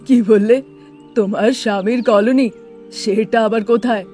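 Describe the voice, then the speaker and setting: A woman's voice: on the high side, fairly even intonation, measured pace, warm tone, soft background music, lightly acted. A woman voicing a puzzled male city auto-rickshaw driver in a cartoon, questioning and baffled.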